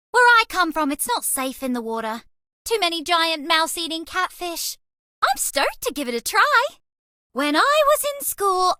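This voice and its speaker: Very squeaky, bubbly alien mouse girl. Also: pushed and bright, no room sound, clean studio sound, heavily acted. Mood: excited. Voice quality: squeaky, girlish.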